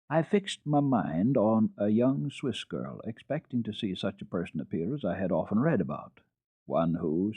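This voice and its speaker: A man's voice: fairly low pitch, slightly rough, no echo, narrow, tinny audio. A man voicing a prim, fretful middle-aged housekeeper with a pompous, emphatic manner in a children's storybook reading.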